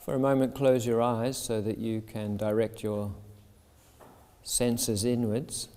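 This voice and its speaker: A man leading a guided meditation as a yoga teacher, speaking soothingly and deliberately in short phrases with pauses. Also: mid-range voice, level tone, warm tone. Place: yoga shala with a seated group. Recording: long reverb of a large hall.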